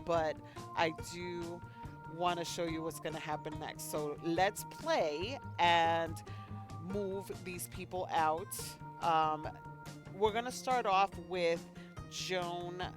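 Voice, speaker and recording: A woman hosting a let's play, chatting casually and unhurriedly with fillers, a bit sniffly. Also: on the low side. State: sniffly, slightly congested. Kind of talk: casual gameplay commentary.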